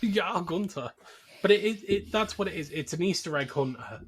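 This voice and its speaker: A man's voice: high-pitched, animated, moderate pace, a little hiss or hum. Man explaining films in casual podcast chat. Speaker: podcast host.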